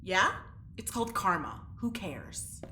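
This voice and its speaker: An actress playing a sarcastic, foul-mouthed escaped prisoner in an audio drama, defiant and biting. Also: female voice, fairly low pitch, very animated, unhurried, bright, forceful tone, reverberant, slight background noise, full character voice. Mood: scornful.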